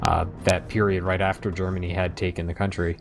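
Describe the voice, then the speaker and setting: Low, creaky-voiced American man. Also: flat delivery, a little fast, over music. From United States. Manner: rambling, conversational, thinking aloud. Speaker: host of a gaming commentary. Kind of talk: casual discussion commentary.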